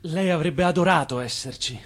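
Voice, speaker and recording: An actor playing an effusive, charming Italian immigrant schemer and ardent suitor in a theatrical radio drama. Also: male voice, fairly high pitch, normal speed, close to an everyday voice. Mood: wistful.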